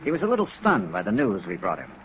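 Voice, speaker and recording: A man's voice: on the low side, wildly swooping pitch, quick pace, rough recording. A man playing a hard-boiled insurance investigator in 1950s radio detective style, clipped and businesslike. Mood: probing, dry. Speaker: lead radio actor and narrator. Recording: old radio recording with faint hiss.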